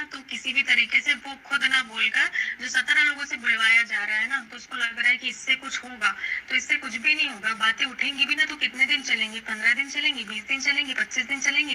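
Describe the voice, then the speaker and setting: A woman's voice: sits high, bright, forceful tone, low-quality recording. A woman in an agitated phone conversation, speaking indignantly and emphatically and repeating herself.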